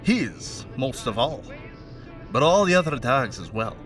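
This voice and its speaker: A man playing a mocking, menacing crime henchman with a put-on Scottish accent in an audio play monologue, chatty and sardonic with threatening pauses. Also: medium pitch, very animated, a bit bright, recorded from a distance, soft background music. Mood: sardonic storytelling. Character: menacing, mocking male crime henchman taunting a bound captive.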